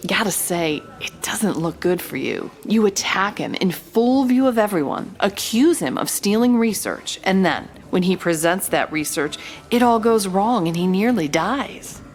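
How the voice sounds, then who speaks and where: An actress playing a confident, sly investigative reporter in a noir-style audio drama, with a wry, knowing and measured delivery. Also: female voice, medium pitch, expressive. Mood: probing, cool. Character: confident, sly female investigative reporter narrating her case.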